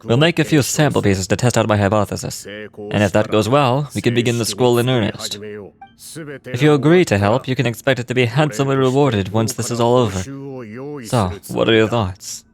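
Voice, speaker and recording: A man's voice: medium pitch, natural voice. Formal scholarly man acted out by a male reader. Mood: composed instructing. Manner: formal, measured, expository. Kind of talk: reading game quest dialogue aloud. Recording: music playing behind the voice.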